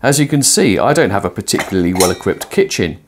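A man in his forties talking to camera while cooking in a small home kitchen, conversational and musing, with lively emphasis. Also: lowish voice, quick pace. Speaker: presenter of a cooking and philosophy video. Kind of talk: casual explanatory talk to camera while cooking. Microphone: lapel mic.

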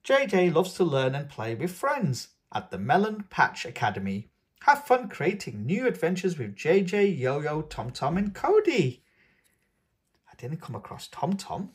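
A man with a husky, breathy voice reads a picture book to toddlers. His pitch swings widely as he coaxes the little ones along in a sing-song way, and he takes his time over each line. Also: huge pitch swings, unhurried. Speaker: children's book reader and channel host. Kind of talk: reading a picture book aloud to young children. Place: indoors at a tabletop, hands showing a book.

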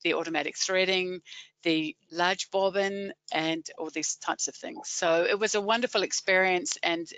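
A woman's voice: fairly low pitch, fairly even intonation, moderate pace, a bit bright, clean tone, no echo. A woman with a New Zealand accent, a webinar guest chatting casually about her work, with frequent fillers. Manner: friendly, hesitant with many fillers. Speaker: guest, education and marketing staff.

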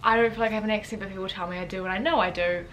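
Fast-talking young New Zealand woman. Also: medium pitch, fairly even intonation, heavy background noise. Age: young adult. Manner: chatty, fast, lots of "like" fillers, gestures with hands. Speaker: vlogger, university student. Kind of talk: casual chat to camera. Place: bedroom, indoors. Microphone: camera in front at chest distance.

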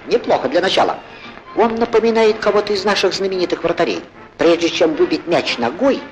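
A man playing an excitable, good-humoured football commentator in a 1940s cartoon, chatty and theatrical, on a noisy old film soundtrack. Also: fairly high pitch. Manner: chatty, theatrical, exclaiming, correcting himself. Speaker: sports commentator.

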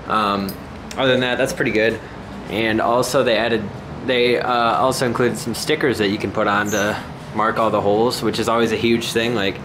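Man, lowish voice, informal home vlog, thinking aloud, handheld camera audio in a basement, clear background hum. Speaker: home gym vlogger. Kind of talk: casual unscripted vlog narration. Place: concrete basement home gym, indoors. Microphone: handheld camera mic, operated by speaker.

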